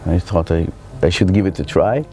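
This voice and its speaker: A man's voice: deep voice, dark tone, faint music behind. Reflective middle-aged Israeli man. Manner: reflective, halting, searching for words. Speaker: spiritual teacher. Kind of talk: personal life story told to camera.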